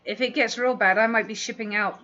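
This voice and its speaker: A woman's voice: a little high, fairly even intonation, fairly quick, pushed and bright. London woman chatting on a muffled stream. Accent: London English. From London, England. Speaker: livestream host, fishkeeping hobbyist. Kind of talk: casual livestream chat answering viewers. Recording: dull, muffled livestream audio.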